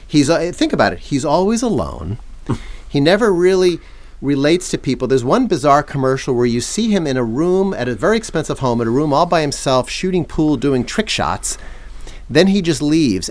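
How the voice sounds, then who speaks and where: Podcast co-host, middle-aged man, mid pitch with lots of movement, dry teasing delivery. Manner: wry, teasing, measured. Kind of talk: casual chat.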